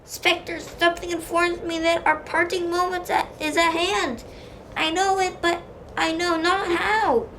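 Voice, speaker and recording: A child reading Scrooge, fearful old miser. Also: female voice, extremely high pitch, level tone, unhurried, fairly bright tone, slight background noise, exaggerated voice, poor audio. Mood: pleading. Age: child voice, third grader. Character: Scrooge, frightened old miser pleading with a ghost. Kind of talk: school radio play reading.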